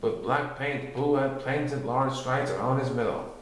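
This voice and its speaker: A man's voice: mid-range voice, measured pace. Man reading a story in a very echoey room. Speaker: story reader. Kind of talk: expressive children's storybook narration.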